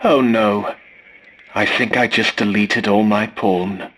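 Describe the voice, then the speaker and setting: An actor playing a fussy, polite, snarky scavenger robot in a video game, with a prim, formal and dryly sarcastic delivery. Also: male voice, fairly low pitch, fairly even intonation, takes it slowly, fairly bright tone. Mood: dry, grumbling. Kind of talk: scripted video game character lines.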